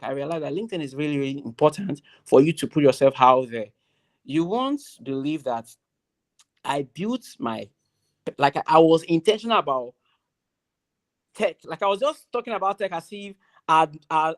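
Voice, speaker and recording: Emphatic young Nigerian speaker. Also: medium pitch. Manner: emphatic, repeats key phrases, loud voice. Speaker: graduation ceremony speaker. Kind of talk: motivational speech.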